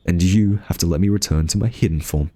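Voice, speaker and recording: A man's voice: sits low, fast, warm tone. A man playing an exasperated, protective guardian angel in an ASMR roleplay, with a scolding, flustered, huffy manner. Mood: grudging, prim. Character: exasperated, fussy, protective male guardian angel, rule-bound and tsundere. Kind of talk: ASMR roleplay audio drama addressed to the listener.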